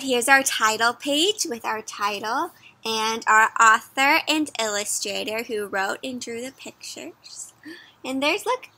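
A woman in her twenties reads aloud to young children in a high, very bright voice. Her delivery is animated and sing-song, and she keeps turning to her young listeners with questions as she goes. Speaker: children's storybook reader and host. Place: outdoors in a leafy yard.

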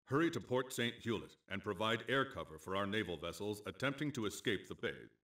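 An actor playing a sober, formal military briefing officer in a video game, delivering scripted mission narration in a measured way. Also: male voice, on the low side, lively intonation, fairly bright tone. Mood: formal, grave.

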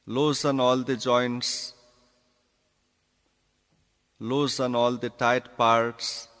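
Very slow-speaking man guiding meditation, long reverb. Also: medium pitch, level tone, fairly bright tone. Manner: deliberate, instructing in short phrases with pauses. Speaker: yoga nidra instructor. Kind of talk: guided meditation instruction. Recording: long reverberant hall echo.

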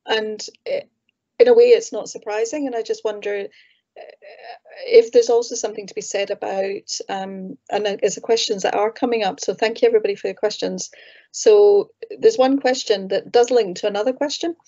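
A woman with a Scottish accent chairs an online panel, putting audience questions to the speakers in a measured way. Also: medium pitch, steady tone, warm tone. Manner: measured, thanking and relaying questions. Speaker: event chair. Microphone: video call. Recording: muffled video call audio.

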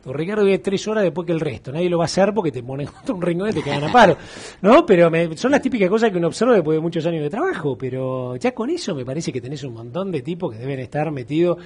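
Animated husky middle-aged Argentine host. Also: male voice, fairly high pitch, quick pace. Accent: Argentine Spanish. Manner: animated, gestures a lot, acts out quoted voices. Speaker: interviewer. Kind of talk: casual interview chat. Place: TV studio.

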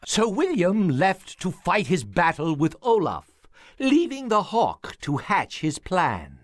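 An actor playing a grave storyteller who narrates a medieval war tale in a video game, with a portentous, theatrical delivery. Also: male voice, high-pitched, wildly swooping pitch, slow pace, no echo, clean studio sound, full character voice. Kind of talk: scripted dramatic game narration.

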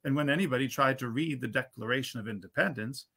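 Guy in his 50s reading an old holiday story out loud, kinda theatrical, creaky voice. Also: medium pitch, fast. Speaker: reader at a literary reading series. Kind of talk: expressive storybook reading of a 19th century holiday tale. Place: indoors at a computer, live stream.

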